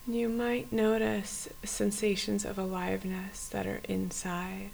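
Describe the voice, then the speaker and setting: Softly guiding woman, gravelly creak, faint hiss. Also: medium pitch, little pitch movement, poor audio. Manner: gentle, unhurried, invitational phrasing with pauses. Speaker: meditation guide. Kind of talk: guided body scan meditation.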